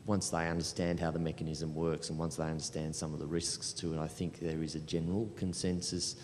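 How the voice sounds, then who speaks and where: Very deep, flat-voiced man in echoey hall. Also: brisk. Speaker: panelist, programme administrator. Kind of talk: panel discussion talk, explanatory. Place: large hall panel event with audience. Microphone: handheld microphone through hall. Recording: echoey hall, low rumbling noise.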